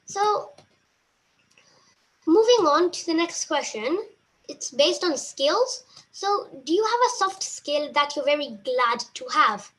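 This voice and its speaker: A woman's voice: high voice, expressive, slow pace, a bit bright, low-quality recording. Polite young woman reading interview questions. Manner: polite, reads prepared questions, thanks often.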